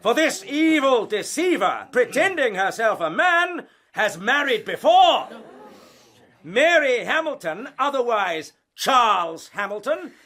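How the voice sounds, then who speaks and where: Pompous prosecutor, high-pitched, animated, insistent, declamatory, period radio play. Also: male voice, slow, full character voice. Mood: declamatory outrage. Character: hectoring, theatrical male prosecuting barrister. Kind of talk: period radio courtroom drama.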